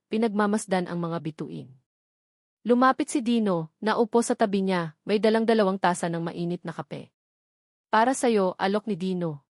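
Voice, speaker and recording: A woman's voice: mid-range voice, a little mellow, dry recording, studio quality. A woman with a Filipino accent narrating a scripted romantic drama as an audiobook storyteller.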